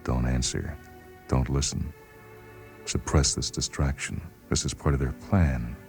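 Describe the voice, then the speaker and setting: Man acting an anguished, suspicious, deep-voiced patient. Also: fairly even intonation, unhurried, a little mellow, faint music behind. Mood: urgent, withdrawn. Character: anguished, suspicious male patient who distrusts everyone.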